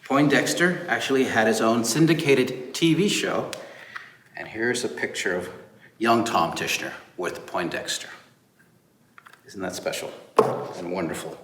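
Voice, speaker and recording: A man hosting a storytime, presenting to children and families in an expressive, emphatic, enthusiastic way. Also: lowish voice, unhurried, pauses often. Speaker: storytime host. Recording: roomy echo, clear background noise.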